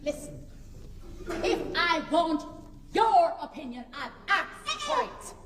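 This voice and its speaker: A woman's voice: very high voice, sing-song, slow, exaggerated voice. An actress playing a haughty, commanding Jamaican wife who scolds and belittles her husband in a comic stage play. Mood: angry, commanding. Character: bossy, snobbish high-earning wife belittling her husband. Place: on a theatre stage before an audience. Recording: echoey theatre hall, distant, noisy audience.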